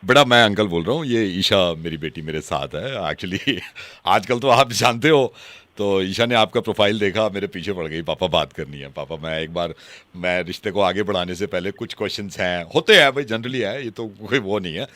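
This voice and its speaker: Prank-call comedy: man as middle-aged dad, bright voice, jolly, pushy, repeats himself. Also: deep voice, moderate pace, no echo, voice pushed down. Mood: jovial, coaxing. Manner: chuckling, repetitive, familiar. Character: jovial, pushy middle-aged father arranging daughter's match.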